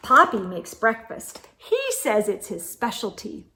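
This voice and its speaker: A young woman reading a children's picture book aloud, gentle and expressive, with soft hums and asides to young listeners. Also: medium pitch, measured pace, background noise. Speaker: children's storyteller.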